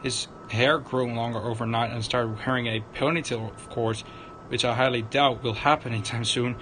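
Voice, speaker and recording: Halting male narrator, noisy background. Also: fairly even intonation, fairly bright tone. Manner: halting, stumbling over written words. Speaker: streamer, narrator. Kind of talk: dramatic read-aloud narration of visual novel. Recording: noisy room with low rumble.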